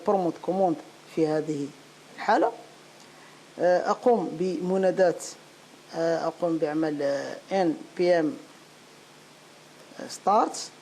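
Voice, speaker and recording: A woman giving an unscripted step-by-step software tutorial, halting and repeating phrases as she searches for words. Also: on the low side. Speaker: programming tutorial instructor. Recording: clearly noisy home-made recording.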